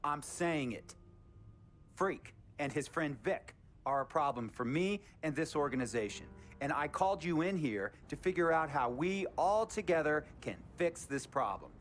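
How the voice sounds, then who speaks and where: A man's voice: on the high side, lively intonation, normal speed, close to an everyday voice. A stern man acting as a sports team owner in a game cutscene, blunt and fed up. He speaks with firm authority and insistence, in a naturalistic dramatic style, with music behind him. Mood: firm, insistent. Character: stern, fed-up male basketball team owner laying down ultimatums.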